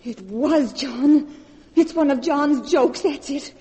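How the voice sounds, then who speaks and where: A woman's voice: high voice, slow, dark tone. A woman acting a frightened, guilty lover on the edge of hysteria, breathless and melodramatic in a 1940s radio horror drama. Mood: anxious. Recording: hissy vintage radio recording.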